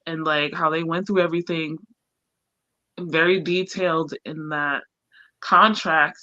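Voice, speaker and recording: A woman's voice: sits low, fairly even intonation, measured pace, pushed and bright, dry recording, muffled recording. A woman chatting casually about books as a panelist, wry and informal and happy to swear. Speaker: book discussion panelist. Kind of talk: casual book chat. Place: online group live stream.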